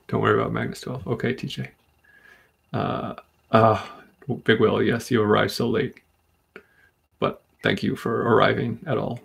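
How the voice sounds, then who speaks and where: A man from Rhode Island hosting a live comic book stream, chatting calmly and steadily while reading comments. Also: on the low side, creaky, breathy edge. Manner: calm, steady, unhurried. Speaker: livestream host.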